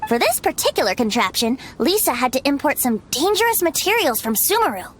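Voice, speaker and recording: A woman's voice: high voice, big pitch swings, brisk, slight background noise. A woman voicing a playful, teasing young male bard in a video game, light and boyish with a breezy theatrical manner. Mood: breezy explaining. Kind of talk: video game character acting. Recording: music behind the voice.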